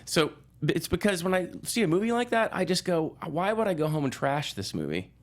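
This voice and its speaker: A man speaking as a guest film critic in casual movie talk, halting and repeating phrases as he thinks aloud. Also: a little high, big pitch swings.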